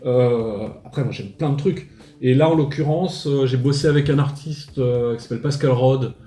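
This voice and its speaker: A man's voice: medium pitch, normal speed, dark tone, echoey room. A man in his forties from Paris, a musician guest talking casually with many hesitations and restarts. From Paris, France. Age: 40s. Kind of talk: casual interview chat.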